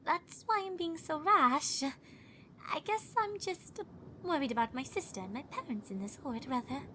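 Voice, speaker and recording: A woman's voice: high-pitched, lively intonation, normal speed, a bit bright, a little hiss or hum. A woman voicing a refined, dramatic female unicorn fashionista telling a spooky tale in a fan fiction reading. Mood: worried. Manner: theatrical, genteel, calls others darling.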